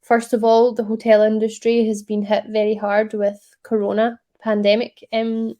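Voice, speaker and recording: A Scottish woman, a startup co-founder, giving an upbeat, chatty pitch presentation over a video call, with frequent ums. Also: completely flat delivery, moderate pace, dark tone. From Scotland.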